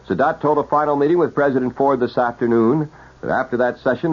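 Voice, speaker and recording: Husky male newscaster on muffled vintage radio. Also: on the low side. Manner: formal, measured announcer delivery. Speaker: radio news anchor. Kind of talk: 1970s scripted radio newscast.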